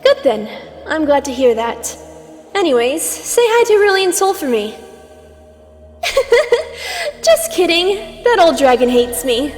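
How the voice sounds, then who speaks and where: High-voiced condescending mystical mentor played by a woman. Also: sing-song, takes it slowly, pauses often, darkish tone, exaggerated voice. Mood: teasing. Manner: lecturing, sardonic, theatrical. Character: stern, teasing older aspect of Twilight, condescending mentor. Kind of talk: scripted fan comic dub acting. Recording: long reverb over music.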